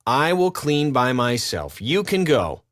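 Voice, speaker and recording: A man plays a no-nonsense penguin leader in a storybook. He speaks at an unhurried pace, curt and commanding, in a mid-range voice. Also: mid-range voice, very animated, dry recording, clean studio sound. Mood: resigned. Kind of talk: children's storybook character voice.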